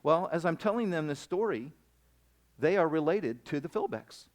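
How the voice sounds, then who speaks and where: A man speaking as a missionary at a camp gathering, telling a long personal story in a rambling, animated way with many restarts. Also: a little high, moderate pace. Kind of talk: storytelling sermon. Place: camp meeting hall.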